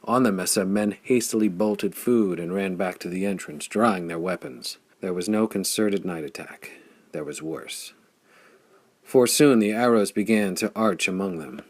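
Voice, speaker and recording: A man narrating a pulp adventure audiobook in a measured, theatrical storytelling style. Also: sits low, gravelly, breathy edge, no echo.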